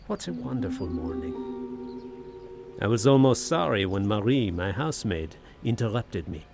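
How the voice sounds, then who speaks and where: A man's voice: on the low side, very animated, moderate pace, vocal fry. An actor playing a genteel, poetic Frenchman of the 1880s in an audio drama, speaking in a florid, theatrical manner. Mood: wistful delight. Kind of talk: audio drama acting, diary narration and dialogue. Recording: music behind the voice.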